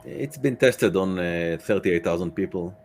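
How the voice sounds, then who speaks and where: A man speaking as a podcast guest in casual conversation, with halting, choppy phrasing and slightly irregular English grammar. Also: a little mellow, faint music behind. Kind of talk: casual interview chat. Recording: noisy call with low hum.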